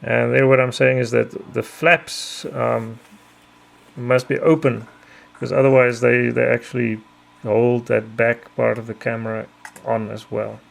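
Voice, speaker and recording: Middle-aged Afrikaans-native male narrator, mid-pitched gravelly voice, methodical tutorial delivery. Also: flat delivery, a little hiss or hum. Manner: measured, careful, frequent ums. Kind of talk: explanatory voice-over.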